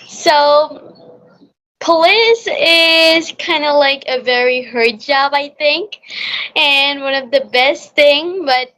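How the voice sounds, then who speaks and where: A woman's voice: high voice, slow, sharp tone, rough recording. A young girl with a Bangladeshi accent, a native Bengali speaker, hosting an English practice conversation in careful, rehearsed phrases. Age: child, about 11 to 13. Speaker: host of English practice channel.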